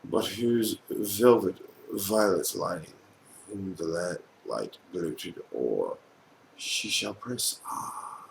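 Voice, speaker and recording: A man giving a dramatic recital of gothic poetry, theatrical and brooding, with drawn-out phrasing. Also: deep voice, lively intonation, vocal fry, echoey room, slight background noise, poor audio. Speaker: amateur poetry performer.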